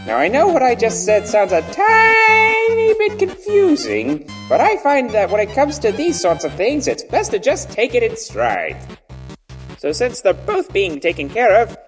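A woman's voice: on the high side, wildly swooping pitch, unhurried, exaggerated voice. A woman voicing a cheerful, kind-hearted, pompous male skeleton in a cartoon dub, earnest and theatrical. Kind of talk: fan comic dub voice acting. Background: music.

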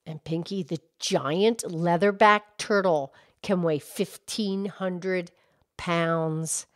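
A woman voicing a calm, knowledgeable grown-up cow puppet, speaking in a soft, measured, teacher-like way in a children's puppet show. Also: lowish voice, no echo, studio quality. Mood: calm, informative.

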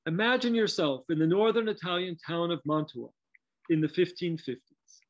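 A man's voice: fairly high pitch, moderate pace, muffled recording. A middle-aged man presenting a scripted, lightly humorous lecture over a video call from a home study.

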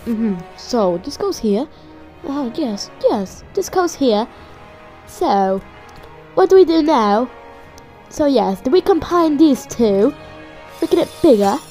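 A woman's voice: high voice, dark tone, low-quality recording. A woman voicing a frustrated, obsessive cartoon stick-figure inventor in an improvised comedic fan dub, muttering and swearing. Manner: muttering, profane. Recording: music playing behind the voice. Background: background music.

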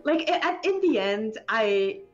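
A woman game developer chatting casually as an interview guest, rambling in an animated, giggly, hesitant way. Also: on the high side, unhurried, muffled recording, faint music behind. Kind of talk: casual spoken interview chat.

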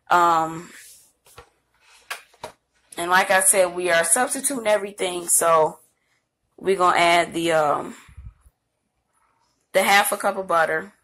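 A woman's voice: mid-range voice, a bit bright. A middle-aged woman hosting a home cooking video, chatting casually to viewers as she cooks in her kitchen while filming with her phone. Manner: chatty, rambling, talks straight to viewers. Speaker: home cooking channel host. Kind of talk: casual cooking demo chat. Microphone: handheld phone camera.

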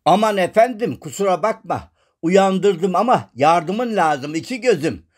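A man playing a courteous, wordy and forgiving gentleman in a shadow-puppet children's cartoon, with theatrical, flowery speech. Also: high voice, expressive, a little slow. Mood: pleading. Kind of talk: animated children's cartoon acting.

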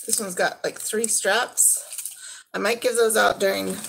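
Slow, gravelly woman showing thrift finds. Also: mid-range voice, fairly even intonation, fairly bright tone, slight background noise, poor audio. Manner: unhurried, trailing off while handling items. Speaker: vlogger. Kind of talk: casual thrift haul show-and-tell. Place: living room at home.

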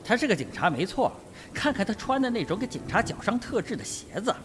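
Sardonic colonel played by a male actor for a radio whodunit: higher-pitched, very animated, needling and self-possessed. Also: fast talker, music in the background. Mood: coolly probing. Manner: mocking, provocative. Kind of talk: Mandarin radio mystery drama acting.

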